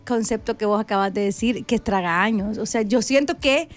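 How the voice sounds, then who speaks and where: A woman's voice: medium pitch, moderate pace. A millennial woman, co-hosting a radio morning show and telling stories with laughs. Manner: laughing, storytelling, playful quoting. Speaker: radio co-host. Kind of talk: casual radio chat.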